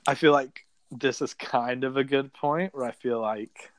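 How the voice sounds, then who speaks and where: A man's voice: medium pitch, fairly even intonation, a little slow. Chatty young man telling a story. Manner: chatty, peppered with "like", acts out quotes. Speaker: podcast guest, reality game contestant. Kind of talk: casual storytelling.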